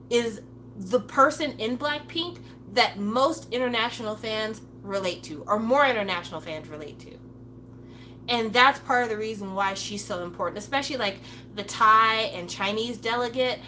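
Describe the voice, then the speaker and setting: A woman talks in a loose, unscripted monologue, blunt and swearing freely. She slips into lively impressions of other people as she goes. Her tone is bright and forceful, with a faint hum underneath. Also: medium pitch, moderate pace, poor audio. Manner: acts out playful voices of others. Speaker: commentator. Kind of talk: casual opinion monologue.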